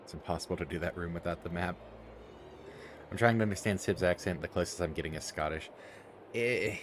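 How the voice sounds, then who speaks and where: A man's voice: low-pitched, a little fast, noisy background. A man co-hosting a game stream, chatting casually and teasing the player with frequent laughs. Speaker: co-host watching the stream. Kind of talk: casual commentary.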